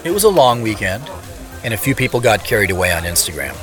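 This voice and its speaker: Audio drama lead: guarded, playful CEO brother, conversational first-person narration, lowish pitch, light music underneath. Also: male voice, big pitch swings, quick pace, a bit bright. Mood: playful, defensive. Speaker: actor and narrator.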